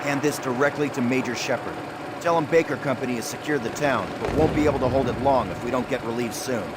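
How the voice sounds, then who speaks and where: A man's voice: mid-range voice, fast talker, close to an everyday voice. An actor playing a battle-hardened American army captain in a war game, commanding his squad in plain, direct words. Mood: calm, dry. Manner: barking orders in battle, plain-spoken in briefings. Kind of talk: cinematic war game acting. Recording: loud gunfire and vehicle noise behind.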